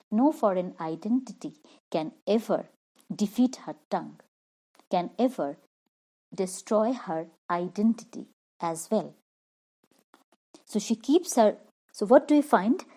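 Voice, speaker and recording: Woman, literature lesson, slow pace, mellow tone, repeats herself. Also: medium pitch, animated. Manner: slow, repeats and rephrases each point. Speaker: literature teacher. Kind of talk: explaining a poem line by line.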